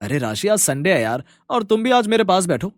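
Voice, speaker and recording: Man acting an animated, coaxing young husband. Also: high voice, a little fast, no room sound, studio quality. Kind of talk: moral story character dialogue.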